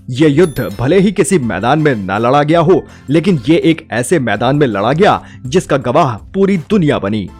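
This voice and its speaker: A man's voice: a little high, a little mellow, slightly rough. A man narrates a scripted film review with emphatic, rhetorical phrasing. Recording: music bed under the voice. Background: background music.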